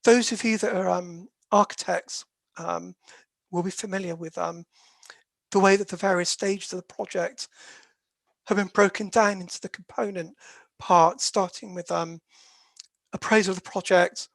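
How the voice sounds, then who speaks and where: A dispute resolution specialist giving a professional webinar talk in a croaky voice, hesitant and filling pauses with many "um"s as they explain things steadily. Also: medium pitch, dry recording. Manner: hesitant, with very frequent "um" fillers; explanatory, steady lecturing delivery.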